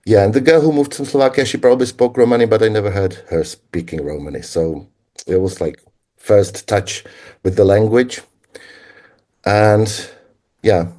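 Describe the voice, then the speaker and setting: Low, mellow Czech-accented man. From Czech Republic. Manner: reflective, halting, with frequent pauses. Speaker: guest linguist. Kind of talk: conversational interview answers.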